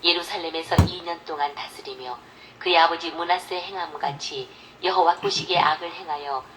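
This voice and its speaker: A woman reading a Bible passage aloud at an even, measured pace. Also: lowish voice, a little hiss or hum, poor audio. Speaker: scripture reader.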